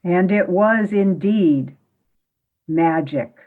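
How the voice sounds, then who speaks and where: A woman narrating a radio play slowly and deliberately. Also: lowish voice. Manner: slow, deliberate, storytelling. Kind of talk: scripted storytelling narration. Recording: phone-like thin recording.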